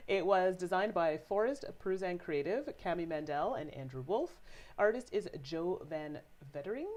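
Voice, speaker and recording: A woman co-hosting a casual board game livestream, stuffy and sniffly from a sinus infection, chatty and quick to laugh. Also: lowish voice, expressive, a little mellow. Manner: friendly, chatty, laughs easily. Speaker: co-host.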